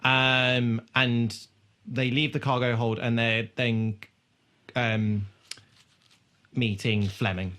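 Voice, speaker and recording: A man's voice: medium pitch, level tone, takes it slowly, long pauses, bright, forceful tone. A man co-hosting a podcast, casually recapping a TV episode in an irreverent, sweary way. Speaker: podcast host.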